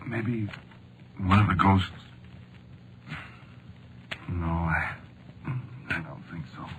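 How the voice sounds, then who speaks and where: An actor in a vintage radio drama playing a weary, fatalistic man dying of a wound, weak and in pain, speaking in halting, laconic phrases. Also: deep voice. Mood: faint, fatalistic. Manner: halting, laconic, resigned delivery. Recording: noisy vintage radio recording.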